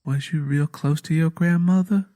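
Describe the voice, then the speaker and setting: Man voicing a gentle, earnest Southern woman. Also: a little high, fairly even intonation, normal speed, pitched above the performer's own voice, close to an everyday voice. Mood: gentle, concerned. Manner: soft, pleading, sweet.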